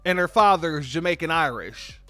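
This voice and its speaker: Fields: Sex: man. Role: commentary host. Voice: high, dry. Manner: emphatic, repetitive. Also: expressive, brisk, pushed and bright, dry recording, faint music behind. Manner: emphatic, repetitive, informal, addresses viewers as family. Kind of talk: political commentary on clips.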